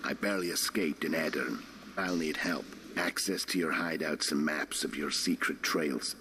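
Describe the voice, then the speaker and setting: Very deep, forceful, grim killer. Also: male voice, moderate pace, a little hiss or hum. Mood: grim, demanding. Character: grim, menacing hired killer bargaining for help. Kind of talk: video game acting.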